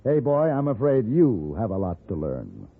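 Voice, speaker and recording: A man's voice: fairly low pitch, huge pitch swings, normal speed, gravelly, a little hiss or hum, full character voice. A man playing a suave, sardonic gunfighter for hire, cool and commanding, in a 1950s radio western. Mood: amused, brisk. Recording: narrow tinny old radio recording.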